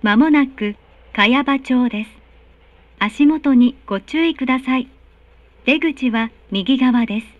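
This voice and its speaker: A woman delivers recorded train announcements in a high, courteous voice. Her phrasing is formal and carefully enunciated. The sound is dry and a little muffled, as captured from a carriage speaker. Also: slow pace, no room sound. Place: recorded announcement played inside subway car.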